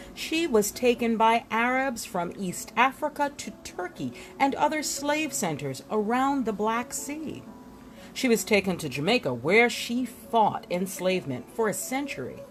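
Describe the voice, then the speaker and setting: A woman narrates a history book as if presenting it to listeners. Her mid-pitched voice swings animatedly, with deliberate pauses and dramatic emphasis. Faint music plays behind her. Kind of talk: expressive read-aloud narration with asides.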